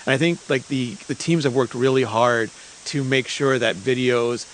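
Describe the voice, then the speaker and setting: A man's voice: mid-range voice, moderate pace, slight background noise, low-quality recording. A man from Montreal interviewing in a casual, informal and enthusiastic way. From Montreal, Canada. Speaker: interviewer and creator liaison.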